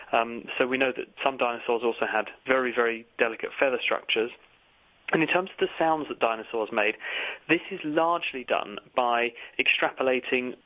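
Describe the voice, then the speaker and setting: Over a narrow phone line, a man explains science for a radio audience. He speaks as an expert at a medium pitch, laying things out in an explanatory way. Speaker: science expert answering listeners' questions. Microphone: phone line into a radio show.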